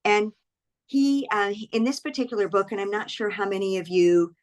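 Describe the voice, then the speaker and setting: A woman's voice: mid-range voice, normal speed. A woman hosting an online book club for a nonprofit, introducing the guest in a friendly way with frequent ums and repeated words over a video call. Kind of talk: introducing a speaker at an online event.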